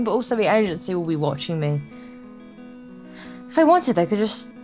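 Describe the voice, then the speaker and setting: Low-pitched playful woman reading a game aloud. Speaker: let's player reading a visual novel aloud. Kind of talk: gameplay reading in character with joking asides.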